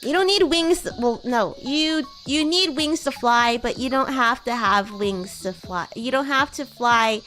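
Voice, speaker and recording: Woman playing a high, girlish bratty demon schoolgirl. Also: measured pace, hardly any pauses, fairly bright tone, soft background music. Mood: playfully insistent. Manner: playful, giggly, teasing. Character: bratty young demon schoolgirl class president with baby wings. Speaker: VTuber streamer. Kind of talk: in-character roleplay livestream chat.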